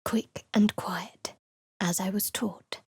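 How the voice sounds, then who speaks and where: A woman voicing a guarded, sardonic young female cleric of a dark goddess in a fantasy video game, with a dry, secretive, teasing manner. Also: mid-range voice, steady tone, takes it slowly, husky, dry recording, heavily acted. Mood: hushed, devout whisper. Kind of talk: video game companion voice lines.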